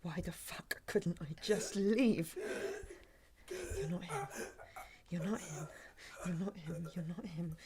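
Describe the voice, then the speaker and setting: A woman's voice: on the low side, slow pace, long pauses, heavy background noise. An actress playing an uneasy, frightened young woman pleading to get away in an audio drama. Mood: shaken, tearful. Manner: tense, swearing when pushed. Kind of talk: naturalistic audio drama acting.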